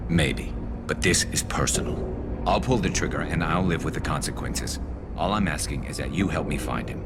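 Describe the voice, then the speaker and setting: A man playing a vengeful, hardened alien soldier in a video game, with a gravelly, faintly metallic voice and a grim, clipped, menacing manner. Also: a bass voice, moderate pace, very noisy. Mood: resolved, wary.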